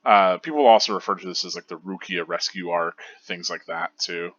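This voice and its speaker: A man with a low, deep voice that still comes across bright and forceful, talking through comics in a loose, enthusiastic ramble full of fillers and restarts. The recording is dry and slightly dull, like a modest home setup. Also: a little fast, no echo. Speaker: host of a manga reread show. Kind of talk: casual analytical commentary.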